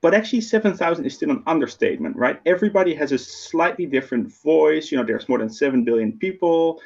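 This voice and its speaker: A man's voice: a little high, big pitch swings, fast talker, darkish tone, muffled recording. A man from the Netherlands with a slight Dutch accent, giving an online keynote in a conversational way and often asking "right?". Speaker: keynote speaker. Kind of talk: online lecture. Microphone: video call.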